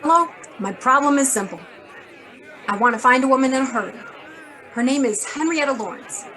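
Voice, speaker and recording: An actress playing a brisk, blunt, wisecracking female editor in a hard-boiled radio drama. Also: sits high, lively intonation, pushed and bright, some chatter behind. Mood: brisk, worried. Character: brisk, sardonic, worried female magazine editor.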